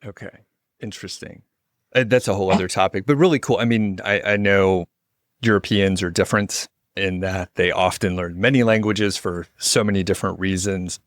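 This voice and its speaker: A man's voice: deep voice, steady tone, moderate pace, dry recording, clean studio sound. A man hosting a podcast, talking in a friendly, informal way with lots of casual fillers. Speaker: podcast host. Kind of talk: casual interview chat.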